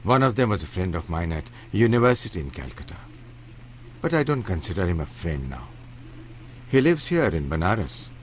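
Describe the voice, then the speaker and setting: An actor playing a wronged, courteous, wealthy householder in a radio drama, speaking formally and with measure. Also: male voice, low voice, sing-song, moderate pace, a little hiss or hum. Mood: grave, formal. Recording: radio play with music underneath.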